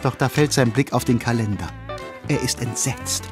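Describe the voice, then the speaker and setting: A man playing a cheerful storyteller, narrating a German children's cartoon audio drama with animated delivery. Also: medium pitch, moderate pace, music in the background, natural voice. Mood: wry, lively.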